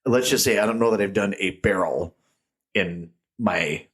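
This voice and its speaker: Husky-voiced man, chatty beer podcast co-host. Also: on the low side, normal speed. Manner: rambling, laughs often, jokey tangents. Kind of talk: casual chat. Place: small echoey home studio room. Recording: echoey, tinny room sound.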